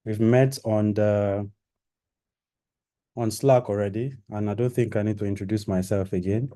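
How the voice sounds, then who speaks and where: A man's voice: lowish voice, fairly even intonation, moderate pace, dark tone. A man giving a live-streamed orientation talk to trainees, rambling and repeating himself with many fillers. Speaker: training program organizer.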